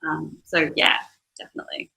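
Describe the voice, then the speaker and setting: A woman's voice: brisk, sharp tone, low-quality recording. A woman speaking as a startup co-founder on a panel, explaining her work in long sentences as she thinks aloud.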